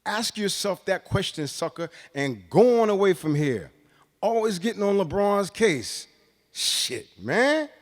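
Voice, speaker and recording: Man acting out exasperated, high-pitched street-talking guy. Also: big pitch swings, measured pace, long reverb tail. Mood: heated, mocking. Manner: heated, slangy, emphatic.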